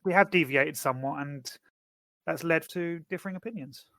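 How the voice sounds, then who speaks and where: A middle-aged man co-hosting a podcast, talking quickly and reflectively in casual chat. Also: fairly high pitch, creaky, fairly bright tone, dry recording. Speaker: podcast co-host.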